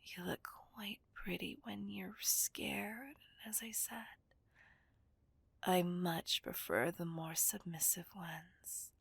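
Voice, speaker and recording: Slow, whispering menacing villainess, acted by a woman. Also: fairly low pitch, steady tone, no room sound, exaggerated voice. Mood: possessive, gloating. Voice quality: breathy, whispery. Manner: slow, taunting, possessive. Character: dominant, menacing female villain taunting a hostage. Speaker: ASMR roleplay voice actor. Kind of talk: whispered ASMR villain roleplay.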